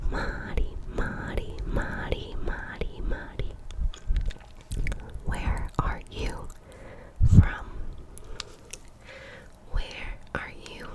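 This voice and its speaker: A woman in her twenties from Colombia, whispering a casual ASMR chat and softly repeating trigger words. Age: 20s. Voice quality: breathy unvoiced whisper. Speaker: ASMR creator.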